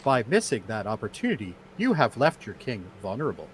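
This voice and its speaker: A man's voice: mid-range voice, wildly swooping pitch, a little fast, a little hiss or hum, low-quality recording. A man playing a courteous, analytical android officer in an amateur radio drama, speaking in formal, precise phrases. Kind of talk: amateur radio theatre reenactment.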